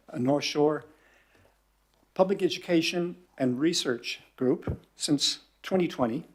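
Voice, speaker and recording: A man's voice: a little high, slightly rough. A man gives a prepared statement at a council public forum, speaking in a formal, earnest way. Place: council chamber.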